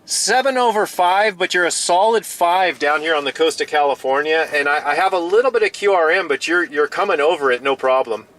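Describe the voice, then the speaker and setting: A man's voice: fairly high pitch, expressive, a little fast, hardly any pauses, noisy background. A man chatting casually as a radio hobbyist, often restarting and repeating his phrases.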